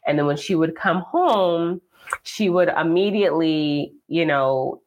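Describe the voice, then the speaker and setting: Low-voiced chatty woman guest. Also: unhurried, noisy background. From United States. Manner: chatty, laughs often, many fillers. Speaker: podcast guest. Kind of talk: casual interview chat.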